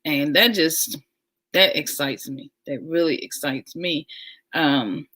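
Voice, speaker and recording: A woman hosts a casual livestream at an easy, unhurried pace. Her voice sits on the low side, and she talks warmly and with enthusiasm, often repeating her phrases. She adds chatty asides as she goes. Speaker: livestream host.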